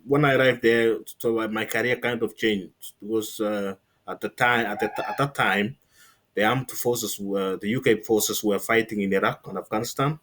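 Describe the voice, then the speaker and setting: A middle-aged man with a Kenyan accent, giving a conversational account as an interview guest and often adding "you know" as he explains. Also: lowish voice. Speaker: interview guest, former navy linguist.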